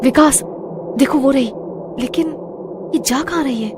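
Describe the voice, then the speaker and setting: An actress playing a worried, loyal young woman with concerned, dramatic delivery in an animated horror story. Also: high voice, measured pace, noisy background. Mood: uneasy, curious.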